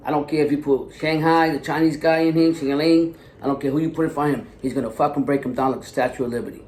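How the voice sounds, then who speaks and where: Fast-talking, blunt male boxing co-host. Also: fairly high pitch, fairly even intonation, a little mellow, echoey room. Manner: fast, blunt, profane predictions. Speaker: co-host and fight analyst. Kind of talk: casual sports talk.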